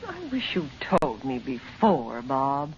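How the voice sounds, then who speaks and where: A woman's voice: a bass voice, huge pitch swings, slow, full character voice. An actress playing an anxious, tender mother in an old-time radio drama. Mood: gentle concern. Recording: muffled old radio recording, faint noise.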